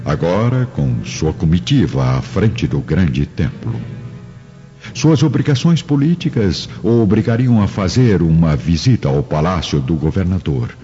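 A Brazilian man narrates a radio drama dramatically. His voice is deep and mellow with lively intonation. The recording is a little dull. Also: measured pace. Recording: dull lo-fi with music behind.

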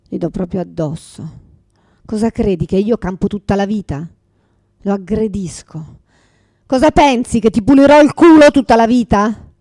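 Radio theatre monologue: exhausted, confused mother, raspy and creaky, fragmented delivery, bitter and pleading. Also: mid-range voice, darkish tone, no room sound, clean studio sound. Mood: furious outburst. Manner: fragmented, repetitive, swearing, swinging from whispers to outbursts.

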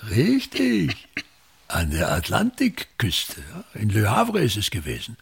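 A man's voice: on the low side, wildly swooping pitch, a little slow, gravelly, husky, heavily acted. An actor in a radio play as a pompous, self-pitying older theatre director and father, grandiloquent and complaining, repeating himself. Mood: imperious, instructing.

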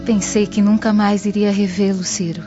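A woman's voice: medium pitch, level tone, normal speed, music in the background, natural voice. A woman playing a devout, tender young woman in love in a radio drama. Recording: dull lo-fi audio.

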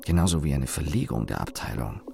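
A man acting as a young, sardonic engineer telling his story in a radio play, wry and deliberate, with pauses and self-corrections. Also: a bass voice, big pitch swings, vocal fry, darkish tone, heavily acted. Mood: confiding. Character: young engineer, sardonic company employee recalling his father. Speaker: narrator and lead actor. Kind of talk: German radio play monologue, reflective storytelling.

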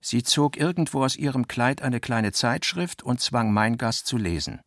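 A man playing a literary narrator in a radio drama, measured and articulate, acting out the dialogue he quotes. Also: mid-range voice, expressive, fairly bright tone, no room sound, clean studio sound, close to an everyday voice. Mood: ironic, declaiming. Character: literary male narrator recounting and quoting his guest's talk.

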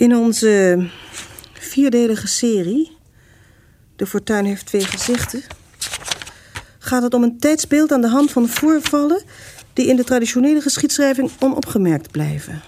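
A noisy recording carries a woman's fairly high, soft-toned voice. She narrates a scripted introduction to a historical radio serial, explaining it to listeners. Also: expressive, measured pace, pauses often.

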